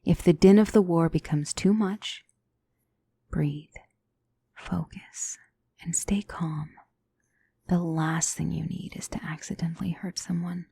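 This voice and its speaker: A woman playing a gentle demonic tutor in a scripted ASMR roleplay, speaking softly and deliberately as a calm battle mentor. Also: on the low side, gravelly, husky, no room sound, full character voice. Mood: soothing, gentle. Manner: measured, deliberate, soft-spoken, instructive.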